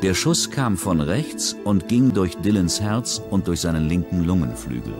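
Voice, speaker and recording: Brief: very low male voice-over, measured documentary delivery, clear music bed underneath. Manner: measured, even narration. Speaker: documentary narrator. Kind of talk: scripted documentary narration.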